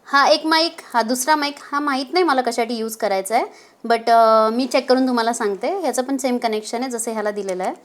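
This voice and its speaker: A woman's voice: a little high, a little fast. A woman casually explains a product as a vlogger, hesitating as she goes and mixing in English words. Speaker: motovlogger presenting a product. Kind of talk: casual unboxing and installation demonstration.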